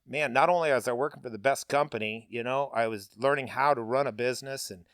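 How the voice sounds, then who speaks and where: Loud, blunt middle-aged man. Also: mid-range voice, fast talker, dry recording, clean studio sound. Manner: loud, blunt, casual with frequent fillers. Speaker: guest, paving business owner. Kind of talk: candid interview talk.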